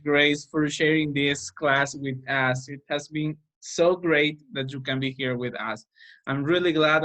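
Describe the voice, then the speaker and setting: A woman's voice: low voice, fairly bright tone. A woman English teacher hosting an online class, polite and encouraging, with hesitant fillers.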